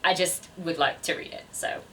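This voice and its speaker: A woman's voice: on the low side, fairly even intonation, moderate pace, pushed and bright, distant mic, slight background noise. A woman in her twenties chatting casually to camera as a book vlogger, with a self-deprecating, chatty manner. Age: 20s.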